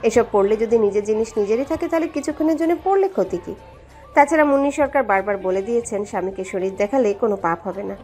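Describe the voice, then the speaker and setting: A woman's voice: a little high, lively intonation, fast talker, dark tone. A woman narrating a scripted story in a dramatic storytelling style. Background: background music.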